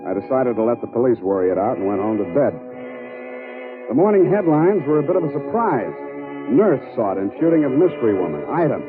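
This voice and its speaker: Man acting hardboiled private eye, dry clipped sardonic, mid pitch, old radio drama with narrow muffled sound. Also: close to an everyday voice. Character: wry, hardboiled male private detective narrating his case.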